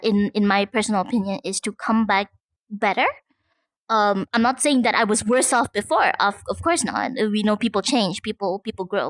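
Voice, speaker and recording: A woman's voice: medium pitch, no echo. A woman from Malaysia chatting casually as an interview guest about her work as an online creator. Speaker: guest, content creator and VTuber.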